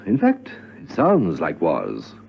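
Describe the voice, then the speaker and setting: An actor playing a pompous, exasperated spy chief in a radio drama, blustering and given to hesitant asides. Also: male voice, a bass voice, wildly swooping pitch, slow pace, gravelly, full character voice. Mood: smug. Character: pompous, exasperated male spymaster boss, fond of cocktails. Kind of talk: 1970s-style spy radio drama acting. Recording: muffled, hissy old radio recording.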